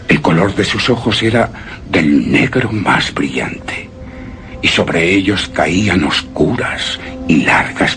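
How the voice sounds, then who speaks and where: A grave, lovestruck man narrates a tale of horror and obsession. He speaks very slowly in a deep voice, savoring each phrase with solemn, reverent weight and hushed emphases. Soft music plays behind him. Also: exaggerated voice. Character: grave, obsessed man rapturously recalling his beloved's eerie beauty. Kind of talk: dramatic radio theatre monologue.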